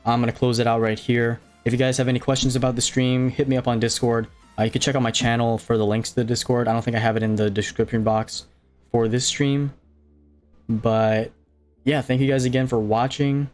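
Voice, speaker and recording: A man's voice: medium pitch, flat delivery, a little fast, darkish tone, soft background music. Laid-back Hawaiian man chatting on stream. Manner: rambling, many fillers and restarts, reading chat aloud. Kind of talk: casual live stream chat.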